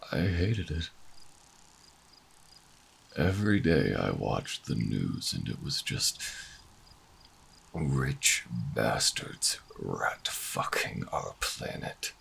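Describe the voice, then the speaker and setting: A man playing a bitter, defiant older human revolutionary in a sci-fi audio drama, speaking in a gravelly, husky voice with theatrical, brooding delivery. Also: rumbling low, big pitch swings, a bit bright, a little hiss or hum, full character voice. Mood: bitter, anguished. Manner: dramatic, brooding, halting monologue. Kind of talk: audio roleplay drama acting.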